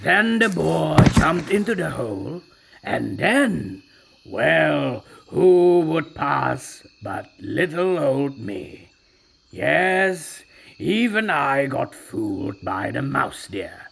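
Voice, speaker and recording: A performer voicing a boastful tiger who narrates his own tale as a slow, sly storyteller in a scripted audio storybook. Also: mid-range voice, very animated, vocal fry, a bit bright, breathy edge, heavily acted. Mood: wry. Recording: music bed under the voice.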